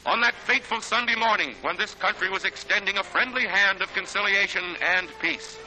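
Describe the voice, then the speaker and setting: A man's voice: high voice, fairly quick, low-quality recording. An American man narrating a 1940s newsreel in a dramatic, declamatory manner. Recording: 1940s newsreel soundtrack with loud music.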